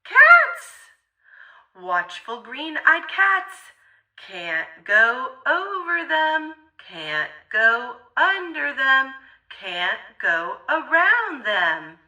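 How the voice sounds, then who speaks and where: Very bright, animated woman reading to kids. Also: high-pitched, distant mic, highs cut off. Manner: sing-song, rhythmic, exaggerated expression with drawn-out words. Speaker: children's storybook reader. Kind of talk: theatrical read-aloud for young children.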